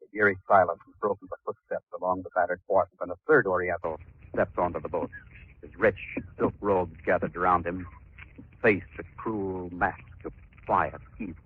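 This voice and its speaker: A man narrating a 1940s radio drama in an ominous, deliberate, theatrical style. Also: sits low, fairly even intonation, breathy edge, no room sound. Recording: tinny 1940s radio recording.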